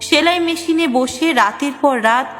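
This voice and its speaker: A high-pitched woman narrator reads a reflective personal story. Her voice rings with long reverb over background music. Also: moderate pace, a bit bright.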